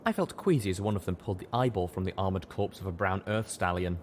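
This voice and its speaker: Low-voiced male audiobook narrator. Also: fast talker, a little hiss or hum. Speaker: audiobook reader. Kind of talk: scripted first-person story narration.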